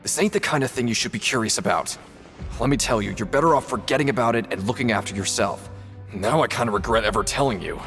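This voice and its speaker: A man's voice: medium pitch, fast talker, a bit bright, soft background music, lightly acted. A man voicing a seasoned, knowing factory worker in a video game, casual, folksy and cautionary. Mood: uneasy warning.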